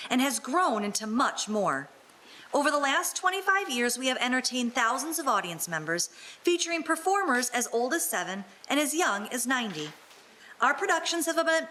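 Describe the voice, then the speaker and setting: A woman's voice: sits high, sharp tone, rough recording. A woman reading scripted radio announcements and narration.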